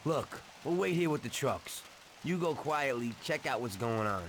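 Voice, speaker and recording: A man's voice: medium pitch, lively intonation, normal speed, noisy background, close to an everyday voice. A man playing a cocky, hot-tempered 1930s American mobster in a video game, with brash, slangy street talk. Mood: urgent. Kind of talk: dramatic video game voice acting.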